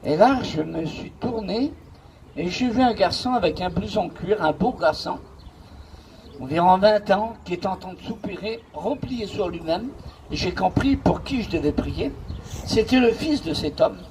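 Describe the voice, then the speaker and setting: Male pastor with a notably high voice, preaching with emphatic repetition and storytelling. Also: lively intonation, moderate pace, darkish tone, a little hiss or hum, poor audio. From Paris region, France. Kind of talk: preaching with congregation responses.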